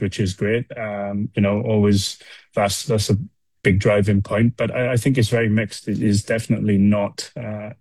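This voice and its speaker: A man's voice: on the low side, a little mellow, slightly rough, dry recording. A man from Wales answering casually as a chef in an interview, hesitant and often repeating words as he explains. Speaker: restaurant chef being interviewed.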